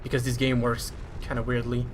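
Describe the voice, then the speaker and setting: A man's voice: medium pitch, monotone, a little fast, a little hiss or hum. A man with a French accent commentating live over his own speedrun in a chatty, self-deprecating way.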